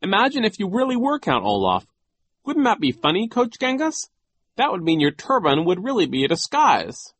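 A man voicing an earnest young orphan boy with a careful, measured manner in an audiobook story. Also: on the high side, very animated, voice pushed up. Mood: feigned amusement. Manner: measured, choosing words carefully.

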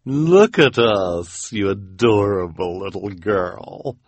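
Storybook villainess played by a man: vain, glamorous, affected, stretching words out slowly, lifted pitch with a husky edge. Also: no room sound, full character voice. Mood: coaxing. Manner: drawn-out, affected, flattering delivery. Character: vain, gushing villainous woman in glamorous dress.

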